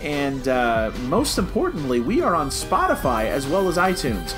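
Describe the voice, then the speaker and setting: An American man co-hosting a podcast in casual, animated fan chat that slips into mock quotes. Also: a little high, normal speed, music in the background. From United States. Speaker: podcast co-host.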